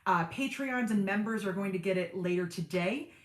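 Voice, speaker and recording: A woman chatting on a sewing livestream, rambling happily through viewer comments with plenty of fillers and wry self-deprecating asides. Her everyday voice sits fairly deep. The sound has a noticeable room echo, as from a home studio. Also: normal speed. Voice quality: deep speaking voice in everyday talk. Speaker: sewing channel host on a livestream. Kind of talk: casual livestream chat reading viewer comments.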